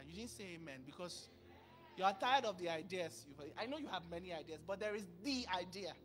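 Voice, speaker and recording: Animated male pastor preaching on a church stage. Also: high voice. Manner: animated, acts out dialogue, bursts of volume. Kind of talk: sermon. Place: large church stage with purple lighting. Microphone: handheld mic.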